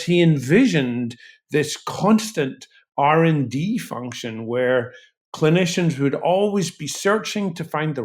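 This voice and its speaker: A man speaking as an expert interview guest in a deliberate, explanatory way. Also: fairly high pitch. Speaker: guest expert, physician researcher.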